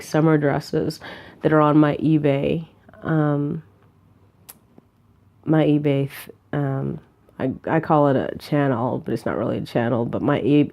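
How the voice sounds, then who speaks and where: A woman in her forties with a raspy voice from a cold, chatting casually to camera from a hotel bed, rambling with many fillers and restarts. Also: deep voice, mellow. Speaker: vlogger. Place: hotel room, lying on a bed.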